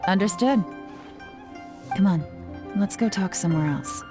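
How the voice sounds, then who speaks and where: A woman's voice: mid-range voice, unhurried, over music, close to an everyday voice. An actress playing a composed young woman investigator in a video game, speaking in a cool, direct, businesslike way. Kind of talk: video game dialogue acting.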